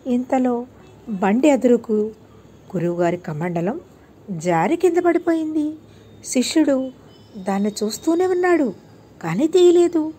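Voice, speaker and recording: A woman's voice: sits high, expressive, measured pace, pauses often, mellow, breathy edge. A woman narrating a scripted moral tale for children as an audiobook storyteller.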